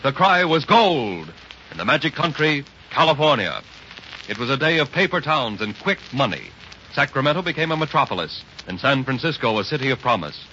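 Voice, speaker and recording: A man's voice: mid-range voice, big pitch swings, brisk, pushed and bright. Male vintage radio narrator, declamatory and punchy, on a muffled recording with faint hiss. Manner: grand, declamatory. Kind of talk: 1930s dramatic radio narration.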